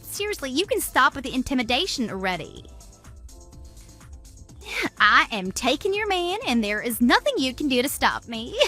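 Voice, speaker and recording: A woman's voice: high voice, sing-song, moderate pace, pauses often, sharp tone, soft background music, heavily acted. A woman playing a smug, gloating mistress in a radio drama, taunting and boastful in an exaggerated way. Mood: defiant. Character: smug, gloating mistress who steals other women's men. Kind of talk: scripted radio drama dialogue.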